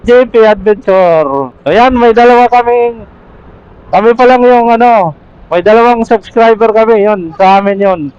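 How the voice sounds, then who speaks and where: Casting: male moto vlogger, higher-set voice, slow casual chat, muffled helmet intercom recording. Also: smooth, no echo. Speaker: motorcycle vlogger. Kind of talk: casual riding vlog chat. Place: outdoors, riding a motorcycle in traffic. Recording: dull helmet intercom mic on motorbike.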